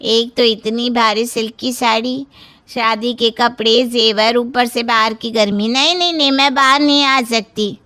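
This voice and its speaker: A woman's voice: fairly high pitch, steady tone, unhurried, bright, forceful tone. A woman voicing a fussy, stubborn aunt with an emphatic manner for a cartoon story. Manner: emphatic, repetitive refusing.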